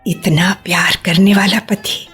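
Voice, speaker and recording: An actress playing a sensible homemaking woman who affectionately scolds her friend in a measured, expressive radio-play style. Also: fairly low pitch, soft background music. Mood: troubled, longing. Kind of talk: Hindi radio play acting.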